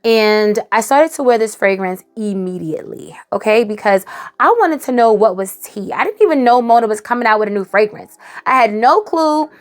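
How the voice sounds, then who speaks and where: Hoarse, raspy woman reviewing perfume. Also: fairly high pitch, moderate pace. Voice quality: raspy, dry, cracking. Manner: animated, emphatic, tosses in "okay?". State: hoarse after a cold. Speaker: fragrance reviewer. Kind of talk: casual chatty review to camera.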